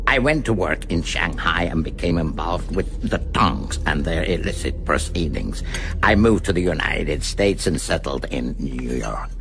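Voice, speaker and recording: An actor playing a cold, boastful, menacing criminal mastermind in a radio drama, with theatrical, deliberate delivery. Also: male voice, low voice, creaky, heavily acted. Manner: slow, deliberate, theatrical, grandiose.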